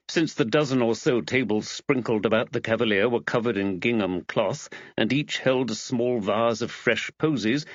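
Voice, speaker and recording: A man's voice: mid-range voice, no echo. A man reading a scripted mystery audiobook as its narrator, on slightly dull audio.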